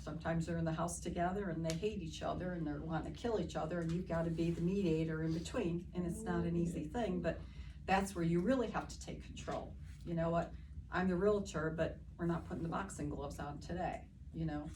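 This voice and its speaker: A lowish woman speaks to a live group in an office meeting room in an animated, chatty way. The mic sits well away from her, so she sounds distant, with clear background hiss. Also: a little fast. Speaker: real estate agent speaker. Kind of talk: live seminar talk.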